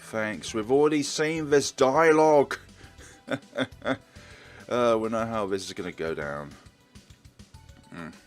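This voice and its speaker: A man's voice: medium pitch, slow pace, long pauses. A man hosting a let's play, reading a visual novel aloud with casual commentary. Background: music.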